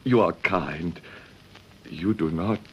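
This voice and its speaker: An actor playing a gentle, nervous young immigrant man who speaks hesitant, broken English in a radio drama. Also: deep voice, muffled recording, slight background noise. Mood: humble, grateful. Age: young adult. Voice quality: foreigner's halting, broken English. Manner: hesitant, deferential, polite.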